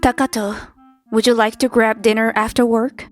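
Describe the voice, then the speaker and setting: A woman's voice: on the high side, little pitch movement, normal speed, soft background music, lightly acted. A woman playing a polite, persistent young office worker with a crush in a scripted manga dub. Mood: hopeful, probing.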